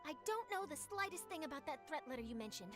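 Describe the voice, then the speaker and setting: Eager young reporter girl, high bright voice, chatty, game music behind. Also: sing-song. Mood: defensive. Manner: quick, chatty, defensive. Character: eager young female journalist.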